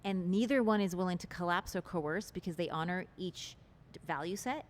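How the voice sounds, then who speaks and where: A woman with a slightly low-pitched voice speaks as an interview guest. She explains her ideas in an articulate, expansive way with earnest conviction. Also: normal speed. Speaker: relationship teacher, interview guest. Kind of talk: interview conversation.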